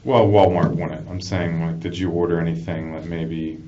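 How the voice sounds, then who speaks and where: A man questioning someone as a police detective, speaking haltingly and often repeating himself. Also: low voice, level tone, dark tone. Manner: halting, repetitive questioning with frequent acknowledgements. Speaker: police detective interviewing a suspect. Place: indoor police interview room. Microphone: distant room microphone. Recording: distant, muffled, noisy interview recording.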